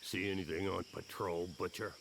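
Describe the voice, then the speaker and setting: A low, deeply gravelly orc squad leader in a video game. He is stern and watchful, handing out curt commands. Loud night insects sit behind the voice. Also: little pitch movement, moderate pace, noisy background. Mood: stern, wary. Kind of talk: video game cutscene acting.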